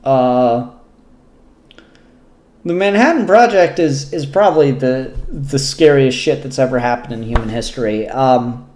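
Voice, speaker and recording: A man hosting a casual, unscripted review show, talking in a halting way with pauses mid-sentence and casual swearing. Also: mid-range voice, darkish tone. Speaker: comic book reviewer and show host. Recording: roomy, echoey room sound.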